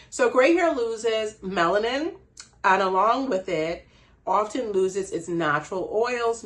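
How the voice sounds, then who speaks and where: A woman from the Bahamas with a Bahamian accent, giving a casual hair care tutorial in a chatty way and laughing at herself. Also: on the low side. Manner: chatty, laughs at herself, frequent "you know". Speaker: hair care brand owner.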